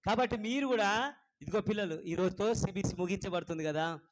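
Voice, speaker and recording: A man's voice: high voice, a little fast, background noise. A man hosting a children's puppet show, encouraging and instructive, often putting questions to the kids. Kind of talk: animated children's ministry presenting.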